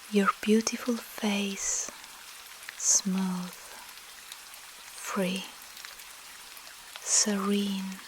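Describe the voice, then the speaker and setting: A woman with a Russian accent softly whispers a soothing ASMR sleep hypnosis, in short phrases with long pauses, guiding the listener as a breathy hypnotist. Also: mid-range voice, a little hiss or hum, rough recording. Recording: steady rain sound behind voice. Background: rain.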